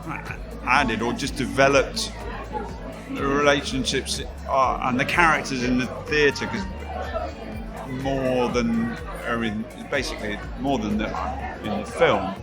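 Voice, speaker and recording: A man talks casually in an interview, halting and rephrasing, at a middle pitch. Very loud music and dense crowd chatter surround him. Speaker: interviewee, stage adapter. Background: busy party with music and crowd.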